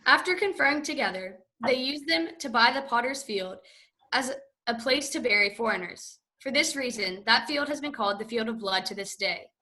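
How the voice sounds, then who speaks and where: A woman narrating scripture in a church with a steady, careful reading. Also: on the high side, normal speed.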